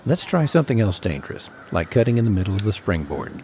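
A man's voice: lowish voice, very animated, quick pace, background noise. A man narrating his own tree-cutting video in a scripted voice-over, with dry folksy humor.

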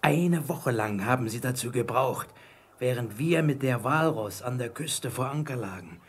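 An actor playing a marooned, repentant old pirate in a German radio play, wary and eager, with a husky, creaky voice and a theatrical, confiding manner. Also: male voice, mid-range voice, animated, moderate pace. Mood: brooding, intense.

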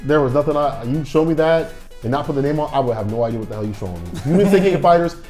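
A man's voice: fairly high pitch, very fast, faint music behind. A young man chatting casually and slangily as he reacts on a gaming panel, in a roomy space. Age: young adult. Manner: animated, slangy. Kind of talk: casual chat, reacting to trailers. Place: small furnished room, on a couch.

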